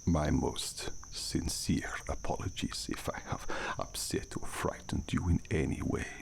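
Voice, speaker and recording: A man playing a courteous, brooding vampire count in an ASMR audio drama, speaking formally in a gravelly near-whisper. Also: extremely low pitch, heavily acted. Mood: gentle, courteous. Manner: formal, slow, measured speech. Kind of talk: ASMR roleplay acting. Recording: noisy, night crickets and outdoor ambience.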